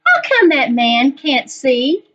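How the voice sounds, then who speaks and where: A woman's voice: sits high, very animated, slow pace, pushed and bright, exaggerated voice. A woman voicing a small, curious storybook boy who questions and complains wistfully. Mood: wistful complaint. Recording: dull lo-fi online recording.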